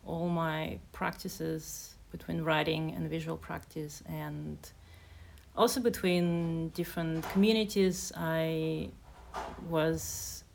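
Woman, poet from Kazakhstan, very slow pace, creaky voice, measured, hesitant. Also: low voice, steady tone, darkish tone, a little hiss or hum. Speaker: poet and translator. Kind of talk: casual conversation and poetry reading.

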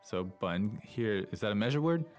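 A man's voice: fairly low pitch, animated, moderate pace, faint music behind. A man co-hosting a language lesson in a conversational style, asking questions and recapping in simple steps.

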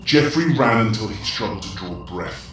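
An actor playing a grave storyteller, delivering scripted dramatic narration for a horror audio drama. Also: male voice, lowish voice, animated, normal speed, a bit bright, slight background noise. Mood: grave, suspenseful. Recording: very echoey, distant, music behind.

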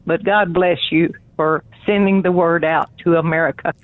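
A woman's voice: fairly low pitch, steady tone, normal speed, no room sound. Woman caller on a tinny phone line. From Texas. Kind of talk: conversational listener message.

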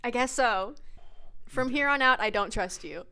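A young woman chatting as a podcast guest, bubbly and excitable. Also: a little high, normal speed, clean tone. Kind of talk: casual podcast chat.

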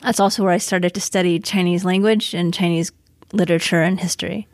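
A woman from Southern California, a scholar and author, talking in a relaxed, thoughtful way with the odd um as an interview guest. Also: on the low side, brisk, no echo. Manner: relaxed, thoughtful, with filler ums. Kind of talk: interview conversation.

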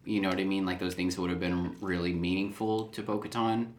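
A man's voice: deep voice, little pitch movement, brisk, slight background noise. A man co-hosting a casual chat, hesitant and often searching for his words. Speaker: podcast co-host.

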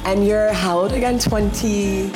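A woman from the United States hosting a podcast in a casual, animated chat, often laughing and saying "literally.". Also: mid-range voice, a little slow, loud music behind the voice, rough recording. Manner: bubbly, animated, laughs a lot, says "literally". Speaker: podcast host.

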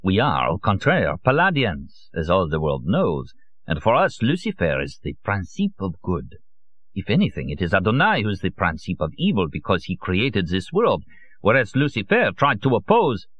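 A man voicing a shrill, almost hysterical occultist with a thick French accent. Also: normal speed, dry recording, muffled recording, heavily acted. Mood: indignant. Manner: excitable, indignant, sprinkles French words.

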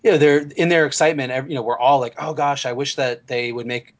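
Guy hosting a fan podcast, mid-pitched, chatty, a bit muffled audio. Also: fairly even intonation, quick pace. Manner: chatty, thinks aloud with restarts. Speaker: podcast host. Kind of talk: casual fan podcast chat. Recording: slightly dull lo-fi audio.

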